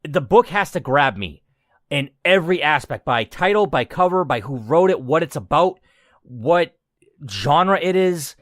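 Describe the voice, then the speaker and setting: A man hosting a gaming channel, giving casual commentary on a game in an emphatic, gushing way with drawn-out words. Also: a little high, animated, fairly bright tone, dry recording, studio quality.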